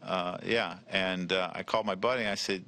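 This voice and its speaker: Low, bright-voiced baseball manager at presser. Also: male voice, steady tone. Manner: measured, repeats words when starting answers. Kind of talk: press conference answers. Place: press room in front of a team backdrop. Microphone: table mic with a logo flag.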